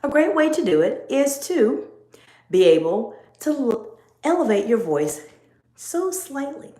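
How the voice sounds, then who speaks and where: Measured woman coaching in an echoey room. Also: high voice, big pitch swings, mellow. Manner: measured, emphatic teaching tone. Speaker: executive coach. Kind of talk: live-stream talk to viewers.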